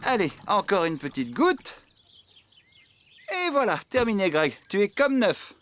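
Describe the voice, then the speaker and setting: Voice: mid-range voice, wildly swooping pitch, unhurried. An actor playing a chatty, muddle-headed, good-natured farm helper in a children's cartoon dub. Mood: flustered. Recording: loud music behind the voice.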